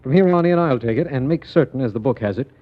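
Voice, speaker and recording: A thin, phone-like vintage radio recording of a lawman played by a man. The mid-range voice is brisk and formal, declaiming with official satisfaction in 1940s style. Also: medium pitch, animated, close to an everyday voice. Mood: satisfied, resolute. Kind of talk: 1940s radio mystery acting.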